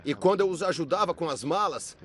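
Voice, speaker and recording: A man dubbing a survivor's testimony and recounting memories in a quiet, sorrowful way. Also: fairly high pitch, brisk. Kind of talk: dubbed interview voice-over.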